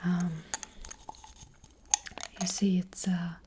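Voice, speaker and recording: Slow whispering witch played by a woman. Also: on the low side, monotone. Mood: hushed, hesitant. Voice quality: breathy whisper. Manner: slow, hushed, hesitant, unhurried. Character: gentle, hesitant witch fortune teller, female. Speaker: ASMR roleplay performer. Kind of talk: soft-spoken whispered ASMR roleplay. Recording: close-up with faint background noise.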